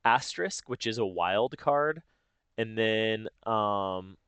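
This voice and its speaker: A man with a fairly low, smooth voice walks through a software process step by step. He explains informally, as if thinking aloud, and the sound is close and dry. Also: expressive, slow, no echo. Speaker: tutorial host. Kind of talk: casual step-by-step software tutorial narration.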